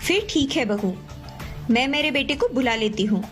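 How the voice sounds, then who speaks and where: A woman's voice: high voice, animated, normal speed, fairly bright tone, faint music behind. A woman playing a stern, reproachful mother-in-law in a Hindi cartoon moral story.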